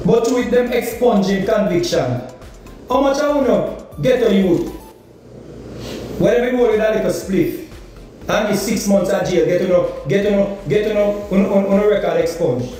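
High-voiced Jamaican man ranting on his phone. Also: lively intonation, moderate pace, mellow. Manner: insistent, rhetorical questions, emphatic repetition. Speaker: political vlogger. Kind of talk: political commentary rant. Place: indoor kitchen or room. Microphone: phone held at arm's length. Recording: echoey room, far from the mic, faint music.

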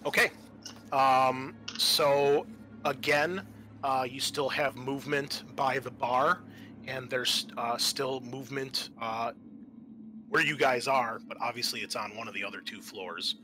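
A man working as game master, improvising narration for a tabletop roleplaying game in a halting, thinking-aloud way. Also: medium pitch, fairly even intonation, over music.

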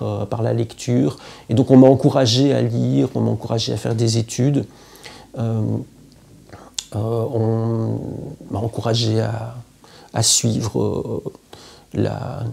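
A man, a poet and literature teacher speaking to camera in an interview, reflective and halting with frequent hesitations. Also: mid-range voice, gravelly, warm tone, breathy edge. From Belgium. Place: indoor room with plants, at home.

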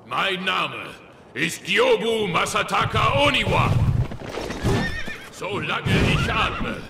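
An actor playing a proud, brutal samurai general in an audio drama, slow, defiant and declamatory. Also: male voice, high voice, expressive, very noisy, exaggerated voice. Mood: defiant menace. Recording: echoing voice under loud music. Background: very loud dramatic music.

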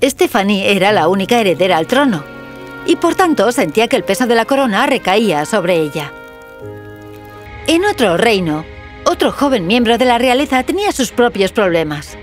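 A woman's voice: mid-range voice, sing-song, moderate pace, soft background music. A woman reading scripted fairy tale narration as a storyteller.

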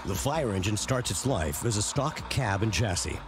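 A man's voice: on the low side, animated, moderate pace. Man narrating documentary over prominent music. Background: prominent background music.

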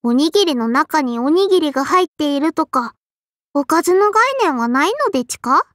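A woman's voice: sits high, animated, normal speed, a bit bright, no echo, studio quality. A woman voicing a small, strict and prim sparrow-spirit girl in an anime game, with a childlike lisp and polite but scolding speech. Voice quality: childlike, lisping. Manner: polite but scolding, quirky verbal tic. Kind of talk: anime game character voice lines.

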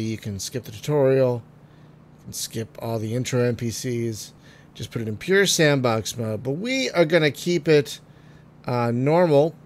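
A man getting over a cold, phlegmy and clearing his throat, chatting casually through a game with many ums and trailing remarks. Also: medium pitch, a little hiss or hum. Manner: chatty, frequent ums and uhs, trailing off quietly. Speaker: gaming video host. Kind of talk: casual let's-play commentary.

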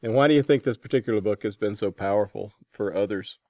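A man hosts an author interview in a conversational style. His voice sits fairly low, recorded dry with little room around it. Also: quick pace, dark tone, no echo. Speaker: podcast host interviewing an author.

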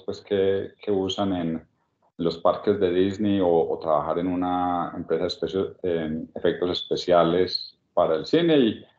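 A man in his forties with a Medellín Colombian accent, telling his life story on a video call in a relaxed way, with many restarts and pauses. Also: low voice. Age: 40s. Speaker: guest speaker at a workshop. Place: small room indoors. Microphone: webcam on a video call. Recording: echoey room, dull video-call sound.

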